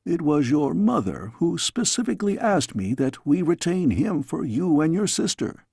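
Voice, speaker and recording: Guy doing an old-fashioned storybook king dad, solemn and tender, measured, creaky husky voice. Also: fairly high pitch, big pitch swings, a little mellow, no room sound, studio quality, voice pushed up, full character voice. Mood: confiding, fond. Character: fatherly middle-aged storybook king, grave yet tender.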